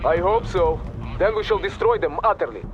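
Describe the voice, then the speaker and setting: Male actor, aggressive Soviet captain, Russian accent, higher voice, clipped military delivery, video game, music behind. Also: brisk, muffled recording, lightly acted. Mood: impatient, aggressive. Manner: clipped military radio speech. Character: hot-headed, aggressive Soviet army captain.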